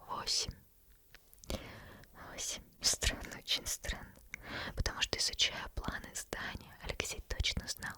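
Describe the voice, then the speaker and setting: A woman whispering as a teasing female demon who reads a bedtime story, slow and intimate, close to the microphone. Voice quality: soft unvoiced whisper throughout. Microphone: close sensitive ASMR microphone.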